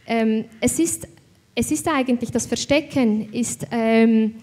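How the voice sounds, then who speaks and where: A woman with a Swiss German accent speaks on a panel in a somewhat high voice. She is reflective and pauses often, and her voice carries a long reverb from a large hall. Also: darkish tone. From Switzerland. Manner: reflective, with frequent hesitations. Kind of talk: panel discussion. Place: event hall.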